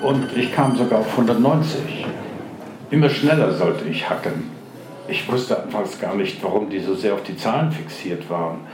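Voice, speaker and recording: An actor playing a grumpy, contrary old care-home resident in a dour, deadpan radio play style. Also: male voice, on the low side, moderate pace, close to an everyday voice. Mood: puzzled recounting. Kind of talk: German radio play acting. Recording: echoey room with faint background noise.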